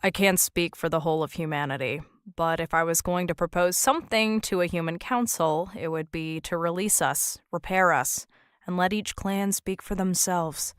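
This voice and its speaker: A woman's voice: on the low side, normal speed, clear, resonant tone, dry recording, clean studio sound, lightly acted. A woman playing a guarded, principled human negotiator in an audio drama, candid and matter-of-fact. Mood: firm.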